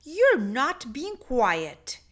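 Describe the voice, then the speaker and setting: A woman's voice: high voice, wildly swooping pitch, drawn-out words, pitched above the performer's own voice, exaggerated voice. A woman voicing a fussy, rule-bound male library assistant in a children's storybook, officious and given to exclaiming. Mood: alarmed.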